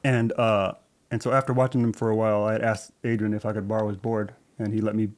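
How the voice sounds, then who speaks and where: A man in his forties, born in Thailand and raised in Southern California, telling his story as a podcast guest in a thoughtful, measured way. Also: fairly low pitch, little pitch movement, darkish tone. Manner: measured, thoughtful, recalls details with small restarts. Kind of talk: relaxed conversational storytelling. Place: podcast studio with shelves and plants. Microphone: studio desk mic with headphones.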